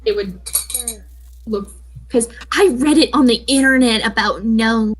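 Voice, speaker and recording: A woman's voice: a little high, a little slow, husky, rough recording. A young woman casually reading a fan comic aloud with friends, breaking off to joke and chat. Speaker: comic dub reader.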